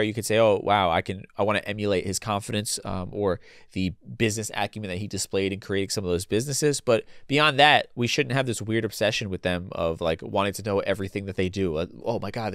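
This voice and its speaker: A man's voice: on the low side, a bit bright, smooth, dry recording, clean studio sound. Blunt man with a stuffy cold. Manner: blunt and casual, swears freely, many restarts. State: congested with a cold, losing his voice. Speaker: podcast host. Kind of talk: solo opinion commentary.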